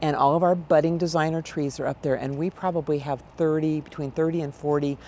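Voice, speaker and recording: A woman in her sixties with an American accent and a low, gravelly voice, answering conversationally as an interview guest. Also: steady tone, fairly quick, mellow, a little hiss or hum. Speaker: event director, interview guest. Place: large exhibition hall, indoors. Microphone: lapel mic.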